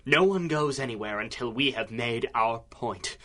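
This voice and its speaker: A man's voice: mid-range voice, lively intonation, normal speed, sharp tone, slight background noise. An actor playing a vengeful, theatrical male supervillain in a radio serial, declaiming like an accusing prosecutor. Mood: defiant. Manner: grandiose, oratorical, accusing. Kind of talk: 1930s radio serial acting.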